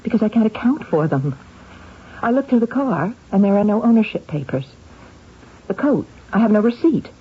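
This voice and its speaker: An actress playing an effusive, touchy, anxious wife in an old-time radio drama, earnest and theatrical. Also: female voice, mid-range voice, sing-song, moderate pace, slightly rough. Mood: anxious, worried. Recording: muffled, noisy old radio recording.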